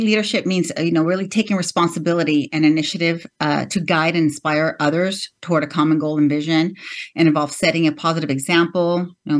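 Earnest woman listing her values. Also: sits low. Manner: earnest, lists values with "you know" and "um" fillers. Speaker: guest, media executive. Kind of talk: interview answer.

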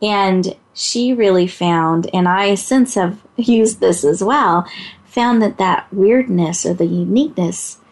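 A woman hosting a motivational talk show, her high voice set at an ordinary pitch for her. She talks playfully and often breaks into a giggle. Her tone is encouraging throughout. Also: takes it slowly, darkish tone.

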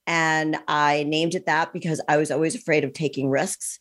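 A woman's voice: deep voice, level tone, moderate pace, a bit bright. A woman in her sixties hosting a podcast interview. She is chatty and enthusiastic and drops in ums as she talks. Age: 60s. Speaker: podcast host.

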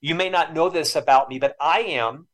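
This is a man in his fifties who has stuttered all his life. As a podcast guest he speaks in an earnest, reflective way, and his voice sits a little high for a man. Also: moderate pace, fairly bright tone. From Florida, US. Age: 50s. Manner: lifelong stutterer, earnest and emotional. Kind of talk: reflective storytelling interview.

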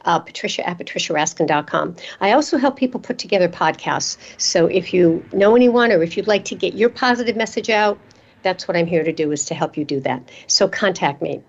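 A woman's voice: fairly low pitch, brisk. A woman hosting a radio interview show, asking questions and murmuring along as her guest talks. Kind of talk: interview host chat. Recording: dull lo-fi sound.

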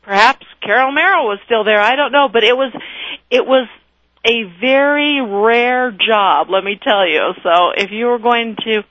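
A woman, a guest telling her story in a radio interview, lively and animated. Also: a little high, measured pace, dry recording, highs cut off. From Texas, raised in California.

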